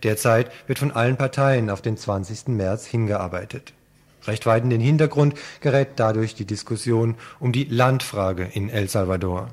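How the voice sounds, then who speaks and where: A man reading a scripted radio news report in a steady, measured way. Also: fairly low pitch.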